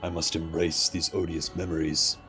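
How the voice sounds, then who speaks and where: Low, grave immortal lord, as a man reads him. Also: flat delivery, pushed and bright. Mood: grave, resolute. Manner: formal, solemn, measured declarations. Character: stern, commanding immortal ancient man, grave and absolute. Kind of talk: dramatic reading of fantasy game dialogue. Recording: music under the voice.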